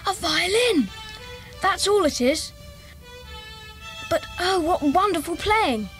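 A woman's voice: extremely high pitch, animated, slow, fairly bright tone, soft background music, exaggerated voice. A woman playing an earnest, bossy young schoolboy gang leader in a children's audio drama. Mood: intrigued.

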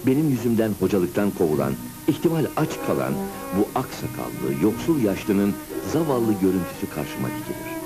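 Deep-voiced man telling a story. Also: normal speed. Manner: expressive storytelling. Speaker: narrator. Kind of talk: dramatic first-person storybook narration for a cartoon. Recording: music behind, old cartoon soundtrack. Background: music.

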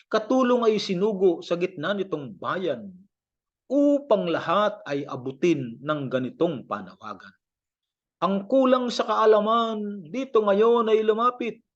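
Male Filipino audio Bible reader. Slow, measured verse recitation with animated pitch; slightly muffled lo-fi sound. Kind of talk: scripted scripture reading.

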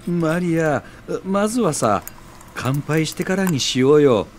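Man playing an easygoing, expressive male friend. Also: a little high, unhurried, slight background noise. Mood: cheerful. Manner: gentle, reassuring. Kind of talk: audio drama acting.

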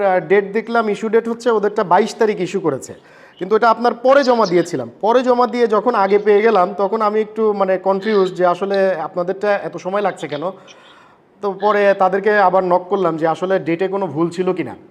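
Male vlogger-consultant, Bangladeshi accent, high voice. Earnest, courteous, conversational, some English mixed in. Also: a little fast. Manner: earnest, polite, mixes in English words. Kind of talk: casual vlog talk and phone chat.

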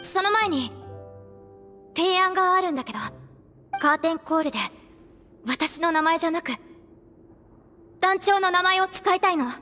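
A woman's voice: very high-pitched, normal speed, pauses often, sharp tone, long reverb tail, soft background music. A woman voicing an earnest young stage actress with polite, feminine phrasing in an anime game. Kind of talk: Japanese anime game voice acting.

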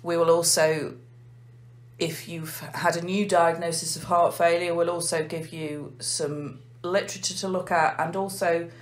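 Measured English woman, low-pitched. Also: level tone. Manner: measured, methodical explaining. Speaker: heart failure clinical nurse manager. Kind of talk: explanatory interview answers.

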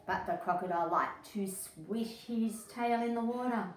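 A middle-aged woman, an educator, reading a picture book aloud to young children in an expressive, emphatic way. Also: mid-range voice, a little slow. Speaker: early childhood educator, story-time host. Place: small indoor room. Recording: echoey room, distant mic, faint noise.

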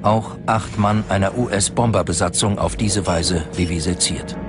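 A man's voice: deep voice, a little slow, loud music behind the voice. A man reading scripted narration for a documentary.

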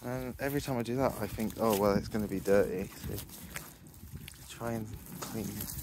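Low-voiced man thinking aloud outdoors. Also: steady tone, moderate pace, noisy background. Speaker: vlogger. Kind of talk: casual outdoor vlog narration. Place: outdoors on a farm by a ditch and fields.

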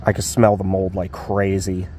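Low-voiced man narrating a handheld walkaround. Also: little pitch movement, moderate pace, dark tone, a little hiss or hum. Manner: rambling, thinks aloud with fillers. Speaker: urban exploring vlogger. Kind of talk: casual walking commentary. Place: outdoors around an abandoned store. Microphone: handheld phone camera.

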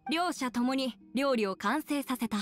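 High-voiced formal announcer girl, woman acting. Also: moderate pace, faint music behind, lightly acted. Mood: official. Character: brisk, formal young female contest announcer. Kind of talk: theatrical Japanese game commentary.

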